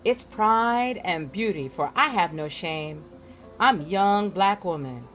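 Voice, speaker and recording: A woman reads nonfiction aloud with a theatrical, deliberate delivery. Her pitch moves widely from phrase to phrase, and she takes her time, pausing for emphasis. Music plays faintly behind her. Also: expressive, fairly bright tone. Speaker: book reader. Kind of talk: expressive read-aloud of a nonfiction book.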